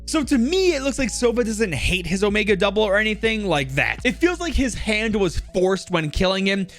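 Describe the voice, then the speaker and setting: High-pitched, animated man commentating. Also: normal speed, soft background music. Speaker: video narrator. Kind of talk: casual game lore commentary.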